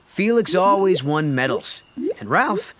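A man narrating a children's story from a script, with expressive storytelling emphasis. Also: high voice, measured pace, crowd noise.

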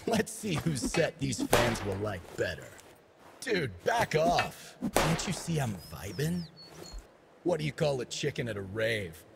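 Cocky gravelly young party DJ. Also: male voice, medium pitch, very animated, unhurried, over loud music, heavily acted. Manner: swaggering slang-heavy party talk. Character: cocky, laid-back young male dancer and party DJ. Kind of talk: video game character voice lines.

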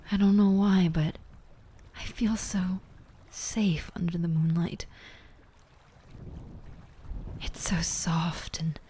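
Woman playing a soft, slow-spoken, gentle ghoul girl. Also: lowish voice, slightly rough. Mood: wistful, soft. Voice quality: soft, often breaking into whispers. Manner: slow, emotional, earnest, with pauses. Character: gentle, grieving, resolute young ghoul girl. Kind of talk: roleplay audio drama.

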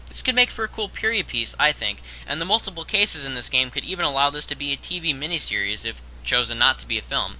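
A man's voice: mid-range voice, pushed and bright, low-quality recording. A young man narrating a scripted top-ten countdown as host, in a conversational way that addresses the viewer directly.

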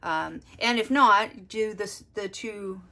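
A woman's voice: medium pitch, takes it slowly, pushed and bright. A woman art teacher giving a step-by-step drawing lesson, explaining as she works and thinking aloud. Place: desk, overhead camera on drawing.

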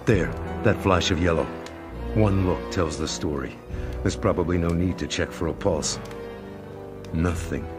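A man's voice: low-pitched, measured pace. A man voicing a grim, brooding masked vigilante in a comic-book drama, speaking in terse, solemn phrases. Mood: grieving self-reproach. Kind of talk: comic-book voice acting, inner monologue. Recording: loud music under the voice.

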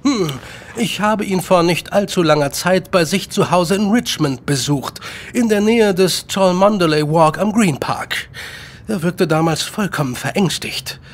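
An actor playing an eager, talkative Victorian gentleman writer in a radio drama, speaking in formal, wordy sentences. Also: high-pitched, animated, measured pace. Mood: grave. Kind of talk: German radio drama acting.

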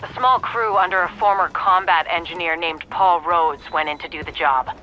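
A woman's voice: on the low side, steady tone, moderate pace, dull audio, a little hiss or hum, close to an everyday voice. Actress for woman agent commander in a video game. Wry, controlled, matter-of-fact briefings. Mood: businesslike, wry. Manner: businesslike, dry. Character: composed, dryly wry female agent commander. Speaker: mission commander.

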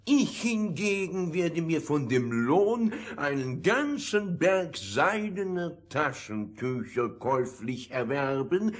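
A stuffy pedantic fusspot, slow, swoopy, super formal, kids audio play. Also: male voice, fairly high pitch, full character voice. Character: pompous, fussy, over-polite fairy-tale know-it-all obsessed with his nose. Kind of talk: German fairy-tale radio play acting.

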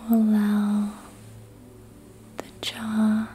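A woman whispering a guided relaxation in ASMR style, soothing and hushed, in short unhurried phrases. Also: mid-range voice, completely flat delivery, slight background noise. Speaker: ASMR host guiding relaxation during a facial massage.

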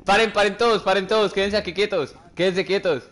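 A man's voice: high-pitched, a little fast, a bit bright. A young man, chatting casually while streaming a game with friends, laughing often and teasing them. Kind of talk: casual gaming chat with friends.

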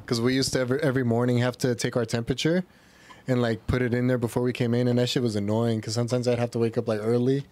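A man's voice: medium pitch, flat delivery, rapid-fire, a little mellow. A young man chatting on a group podcast, explaining things in an informal way. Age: young adult. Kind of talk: casual group podcast chat. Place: small room with couches, indoors. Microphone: handheld microphone.

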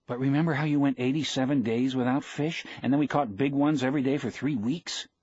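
A man's voice: medium pitch, fairly quick, darkish tone, slightly rough, highs cut off, voice pushed up, lightly acted. A man voicing a loyal, affectionate boy in an audiobook, eager and respectful in manner. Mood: earnest, eager. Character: loyal, earnest, affectionate boy fishing apprentice.

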